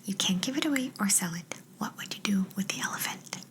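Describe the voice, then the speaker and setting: A woman's voice: mid-range voice, animated, brisk, fairly bright tone. A woman whispering breathily and playfully as a quirky job interviewer in an ASMR roleplay, close to the microphone. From Canada. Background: writing sounds.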